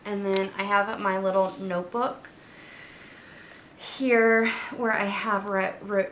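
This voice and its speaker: A woman hosting a casual crafting vlog, stuffy and sniffly from a cold, talking in an unhurried way with plenty of ums and restarts. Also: mid-range voice, flat delivery, a bit bright, echoey room, slight background noise. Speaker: crafting channel host. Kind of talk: casual show-and-tell vlog.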